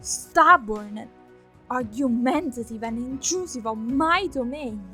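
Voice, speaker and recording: A woman's voice: fairly high pitch, expressive, unhurried, sharp tone, soft background music. A woman playing a haughty, easily offended goddess of natural life in a fantasy audio drama, formal and accusatory.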